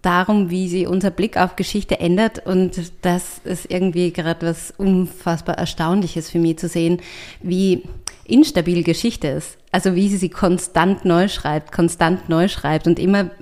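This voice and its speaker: Thoughtful woman writer, lowish voice. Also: steady tone, normal speed, a little mellow. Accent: Austrian German. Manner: thoughtful, searching for words, with restarts. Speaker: writer and artist, guest. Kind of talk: conversational interview answers.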